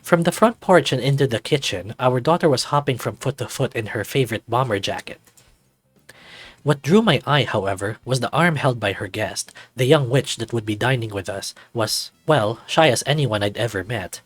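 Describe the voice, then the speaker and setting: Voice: medium pitch, animated, moderate pace, slightly rough, no room sound, soft background music. A narrator reading a scripted first-person visual novel story.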